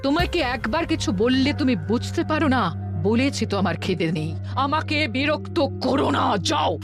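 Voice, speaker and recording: A woman's voice: sits high, lively intonation, moderate pace, gravelly, over music. A woman playing a sulky, imperious cartoon queen who speaks in a curt, petulant way. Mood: irritated. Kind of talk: cartoon voice acting.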